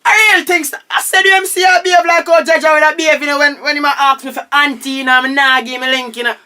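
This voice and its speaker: A man's voice: very high voice, steady tone, fast talker, pushed and bright, full character voice. A man playing a cheeky young nephew with a Jamaican accent, talking slang in a comic radio drama.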